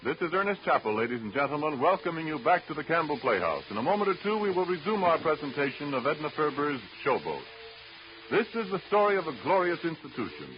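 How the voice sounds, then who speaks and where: A man announcing in a formal, polished 1930s radio style, with measured scripted delivery. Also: fairly high pitch. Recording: dull, hissy old 1930s radio broadcast.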